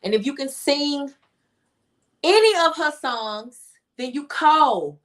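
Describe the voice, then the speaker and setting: A woman's voice: sits high, expressive, takes it slowly, a bit bright. A woman speaking casually as an interview guest, emphatic and repeating phrases to make her point. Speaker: interview guest, singer-songwriter.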